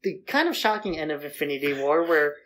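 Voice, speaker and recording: A woman's voice: sits low, sing-song, a little fast, fairly bright tone. A woman co-hosting a podcast, giving blunt opinions on films in casual conversation. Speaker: podcast co-host. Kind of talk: casual opinionated film discussion.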